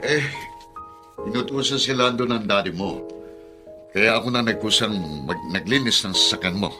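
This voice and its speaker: An actor playing an anguished, meek middle-aged ex-prisoner father in a Filipino radio drama, with a Filipino accent and a halting, stammering delivery. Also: on the low side, over music, close to an everyday voice. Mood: meek, eager.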